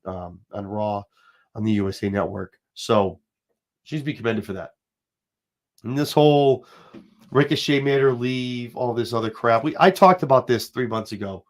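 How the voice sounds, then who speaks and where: A man in his forties hosts a casual livestream, his voice sitting a little low and stuffed up from a slight cold. He rambles and pushes his points hard, talking straight to his viewers. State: has a cold, sniffling. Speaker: show host. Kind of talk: casual wrestling commentary livestream.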